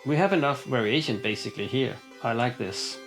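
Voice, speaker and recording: Gravelly man demoing synths. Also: mid-range voice, fairly quick. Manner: relaxed, explanatory, with many fillers and restarts. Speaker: synth demonstrator. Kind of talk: hands-on synth demo. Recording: synth music playing behind. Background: synthesizer music.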